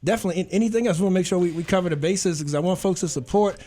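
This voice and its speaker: A man's voice: high voice, rapid-fire, mellow. A man hosting a talk show, asking questions in a rambling, upbeat way with many restarts.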